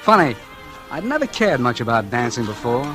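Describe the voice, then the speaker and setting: A man acts out a doomed, world-weary noir hero of a 1940s radio drama. He is wry and terse, slipping between clipped dialogue and hard-boiled narration. Music runs clearly under the vintage recording. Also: medium pitch, very animated, a little fast, close to an everyday voice. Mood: wistful, wry. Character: weary, doomed man on the run, narrating his own story.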